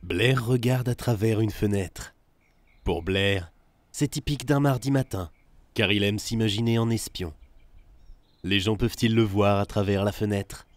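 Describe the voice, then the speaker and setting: A man narrates a film in the third person with a fairly low voice. The recording is dry and free of room sound, and the delivery is that of a scripted storyteller. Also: unhurried, no room sound.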